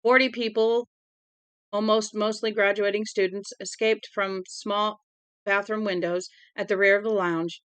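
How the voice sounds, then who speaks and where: A woman's voice: mid-range voice, flat delivery, a little slow, a bit bright, smooth, dry recording, close to an everyday voice. Woman reading as a male radio newscaster. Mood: urgent. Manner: urgent, formal news delivery.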